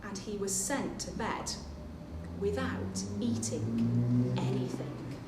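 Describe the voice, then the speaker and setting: A woman reading a children's picture book aloud at story time as a librarian, animated and expressive, pausing for asides and questions to young listeners. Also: a bass voice. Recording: echoey room, distant mic, faint noise.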